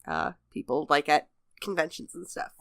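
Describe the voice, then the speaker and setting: A woman's voice: low-pitched, very animated, normal speed, a bit bright, dry recording, studio quality. A woman co-hosting a podcast in a casual, conversational style. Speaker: podcast co-host. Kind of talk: casual chat.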